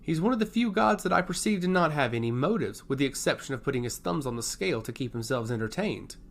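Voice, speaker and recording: A man's voice: on the high side, animated, quick pace, gravelly. A man voicing a proud, skeptical lord with a passionate, scornful manner in a fantasy audio story. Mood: scoffing. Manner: passionate, scoffing.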